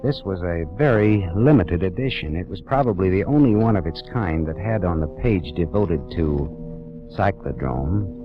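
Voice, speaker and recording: Hardboiled detective, low swooping voice, wry and world-weary, old radio noir, music behind, dull lo-fi sound. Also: male voice, lively intonation, moderate pace. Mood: tense narrating. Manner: wry, clipped, dramatic hardboiled delivery. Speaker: lead actor and narrator. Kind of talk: 1940s radio noir acting and narration.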